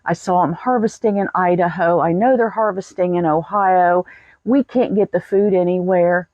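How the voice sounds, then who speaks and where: A woman's voice: fairly low pitch, fairly even intonation, moderate pace, darkish tone, dry recording, muffled recording. An American woman in her sixties telling a personal story in a folksy, plain-spoken way. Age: 60s. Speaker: vlogger.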